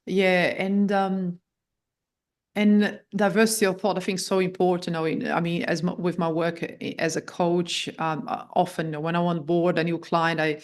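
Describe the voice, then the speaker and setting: Low-voiced woman hosting a podcast. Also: level tone, moderate pace. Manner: affirming, loosely phrased questions. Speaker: host, leadership coach. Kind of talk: conversational interview hosting.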